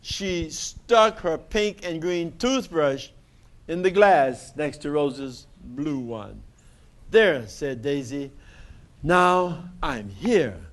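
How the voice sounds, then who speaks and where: A man narrating a children's picture book expressively and deliberately, with dramatic pauses. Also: fairly high pitch. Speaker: storybook reader.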